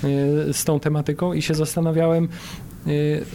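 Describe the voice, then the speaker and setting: A man interviewing a guest in a conversational style, haltingly, stumbling over and repeating words. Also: on the high side, flat delivery, creaky, warm tone. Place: recorded in a cinema. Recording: noticeable background noise.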